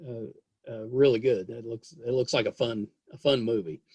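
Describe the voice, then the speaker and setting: A man's voice: mid-range voice, fairly even intonation, normal speed, darkish tone, husky, no room sound. A man hosting a podcast interview, enthusiastic and rambling, who often repeats his own phrases. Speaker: podcast host. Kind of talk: casual interview chat.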